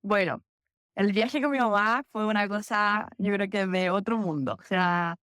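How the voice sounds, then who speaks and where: A woman's voice: medium pitch, unhurried, clean tone, no echo, clean studio sound. A young Chilean woman with a Chilean Spanish accent, a guest telling stories in a casual podcast chat. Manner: chatty, animated storytelling with laughs and self-corrections. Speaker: interview guest, physiotherapist.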